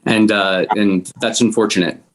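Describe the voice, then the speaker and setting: Brief: male guest, very low-pitched, offering appreciative, loosely rambling encouragement. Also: fairly even intonation, moderate pace. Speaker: guest actor from a table read. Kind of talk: informal appreciative remarks.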